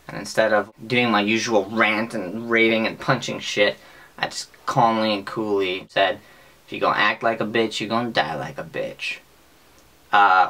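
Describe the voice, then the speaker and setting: Bright, deep-voiced young American man. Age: young adult. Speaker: vlogger. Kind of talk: casual comedic monologue to camera. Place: small bedroom with bunk bed, indoors.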